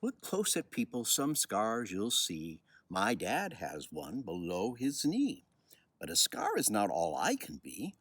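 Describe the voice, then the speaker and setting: Husky, very animated older man. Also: lowish voice. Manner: expressive, unhurried storytelling. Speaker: children's book author reading aloud. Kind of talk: personal commentary and storybook reading.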